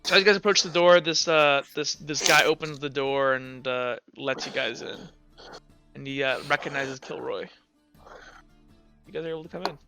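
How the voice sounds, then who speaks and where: A man narrating as game master, improvising informally in halting descriptions full of filler 'like'. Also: on the high side. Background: background music.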